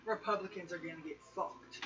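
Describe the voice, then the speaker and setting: A man's voice: sits high, brisk, sharp tone. A man playing a tabletop game, talking casually and bluntly with plenty of swearing. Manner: blunt, profane. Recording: distant, dull, noisy room pickup.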